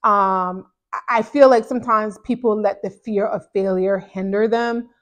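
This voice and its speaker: A woman in her thirties from Ghana, a therapist hosting a casual question-and-answer chat to camera, speaking in an animated, chatty way into a lapel mic in a small indoor room. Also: mid-range voice, unhurried. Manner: chatty, animated, gestures a lot while talking. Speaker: therapist and channel host. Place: small indoor home office.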